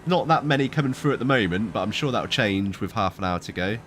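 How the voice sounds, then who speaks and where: Stuffy-nosed man, low voice. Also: lively intonation, quick pace, a bit bright, a little hiss or hum. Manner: chatty, rambling, laughs easily. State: stuffy from hay fever. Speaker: sim racing streamer. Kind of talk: casual chat while racing.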